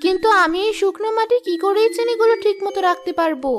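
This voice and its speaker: A very high, almost childlike voice from a woman acting as a humble young widow in a fairy-tale cartoon. She speaks earnestly and plaintively, exclaiming her troubles and wonder. Light music plays underneath. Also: fast. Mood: puzzled.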